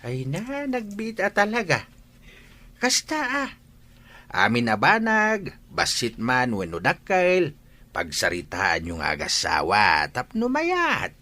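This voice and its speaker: A man's voice: mid-range voice, huge pitch swings, takes it slowly, pushed and bright, full character voice. A man playing a hearty, advice-giving old village father in an Ilocano radio drama, with an Ilocano accent. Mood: delighted, advising. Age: older.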